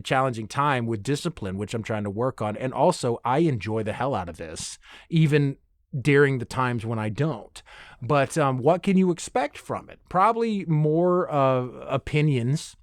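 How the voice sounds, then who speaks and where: A man's voice: mid-range voice, no echo. Casual man hosting a podcast, dry close sound. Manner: self-deprecating, informal with casual swearing. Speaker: solo podcast host. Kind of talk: casual rambling monologue, conversational.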